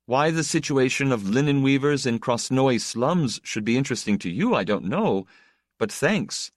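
A man's voice: mid-range voice, animated, moderate pace, no echo, studio quality, pitched above the performer's own voice, lightly acted. A man voicing a proud, awkward young man in an audiobook, stiff and bitterly resigned. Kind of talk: audiobook character dialogue.

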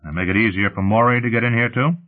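A man's voice: on the low side, quick pace, pitched above the performer's own voice, lightly acted. A man voicing a sullen, crude young hothead who talks in a curt, surly way. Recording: muffled phone-like narrow sound.

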